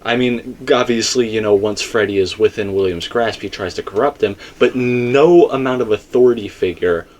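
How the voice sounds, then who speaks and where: A man's voice: lowish voice, normal speed, hardly any pauses, darkish tone, a little hiss or hum. A man in a casual theory discussion, explaining his points emphatically and circling back to them. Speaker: video game theorist.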